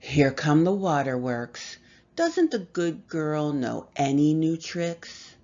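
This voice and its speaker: Cruel, deep-voiced taunting mirror spirit acted by a woman. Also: big pitch swings, slow, mellow, lo-fi sound, heavily acted. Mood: scornful. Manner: scornful, taunting. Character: cruel, mocking female voice from a haunted mirror.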